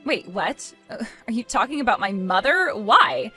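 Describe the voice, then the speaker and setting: A spoiled young bride in a dramatized family story, acted out by a woman. Her voice is high and swings widely as she whines and pleads petulantly, with music playing underneath. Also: expressive, moderate pace, bright, forceful tone, slightly rough, heavily acted. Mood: indignant. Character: spoiled, whiny, self-centred young bride, pleading with mother.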